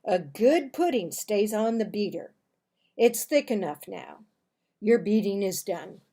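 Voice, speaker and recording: A stern father from a children's storybook, acted out by a woman reader. He is commanding and emphatic, speaking slowly and loudly to his boys with firm authority. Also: medium pitch, expressive. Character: stern, booming father playfully threatening his young sons.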